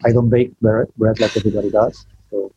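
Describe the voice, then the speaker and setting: Guy from spain, english, low mellow voice, a bit husky, dull dry call audio. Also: level tone, no room sound. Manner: chatty, self-deprecating, halting restarts. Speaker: guest. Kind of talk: casual online interview.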